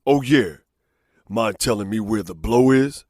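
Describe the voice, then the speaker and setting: A man playing a surly, streetwise drug-lab thug in a comic dub, rough-voiced, slangy and confrontational. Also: fairly low pitch, unhurried, a little mellow, no echo, studio quality. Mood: surly, taunting. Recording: faint music behind the voice.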